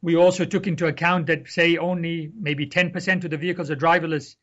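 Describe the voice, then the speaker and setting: A man's voice: fairly high pitch, level tone, quick pace, fairly bright tone. A man from Egypt, a guest on an interview podcast, explains carefully and restarts his phrases. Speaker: guest researcher. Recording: dull lo-fi sound.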